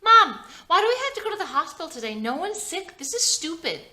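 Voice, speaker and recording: A woman's voice: very high voice, very animated, fairly quick, pushed and bright, voice pushed up, full character voice. A woman voicing a young boy, whiny and stubborn, in a family drama script. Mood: whiny, annoyed.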